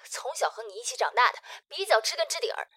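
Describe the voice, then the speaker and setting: High, bright, animated young woman best friend. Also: fast, slightly rough, no echo, exaggerated voice. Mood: urging, hinting. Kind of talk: modern audio drama acting.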